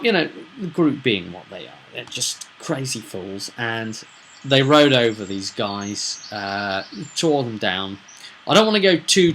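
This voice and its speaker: Over noticeable background fan noise, a British man speaks in a bright, forward voice at a middling pitch. He explains tabletop game mastering in a casual vlog style, pausing and restarting, then leaning hard on important words. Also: rough recording. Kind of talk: casual explanatory vlog about a roleplaying session.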